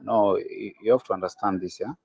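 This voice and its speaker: Husky-voiced man, halting and repetitive. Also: lowish voice, steady tone, darkish tone, dry recording. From Kenya. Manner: halting, repeats words, talks over the host. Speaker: caller. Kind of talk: religious argument in a call-in debate.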